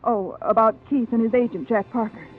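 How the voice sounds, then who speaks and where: A woman's voice: on the high side, steady tone, fairly quick, lightly acted. An actress playing a defensive, indignant woman suspected of murder in a 1950s radio drama, speaking insistently. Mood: earnest. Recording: tinny old radio recording with music.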